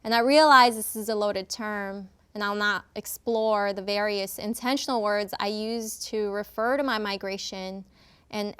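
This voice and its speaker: A young adult woman from Nicaragua whose first language is Spanish, delivering an impassioned, deliberately phrased spoken-word talk. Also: mid-range voice, a bit bright, clean tone. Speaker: speaker.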